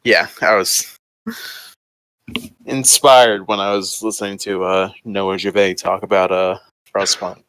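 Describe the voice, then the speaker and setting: A man's voice: low-pitched, level tone, measured pace, a bit bright, clean tone, no echo, poor audio. A man running a tabletop game as game master, explaining the world in a loose, filler-heavy way with casual swearing.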